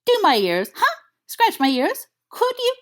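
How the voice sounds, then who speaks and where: A woman voicing a young man whose mind has turned half dog, gushing and excitable. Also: extremely high pitch, unhurried, pitched above the performer's own voice, exaggerated voice. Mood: giddy. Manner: breathless, gushing, yippy.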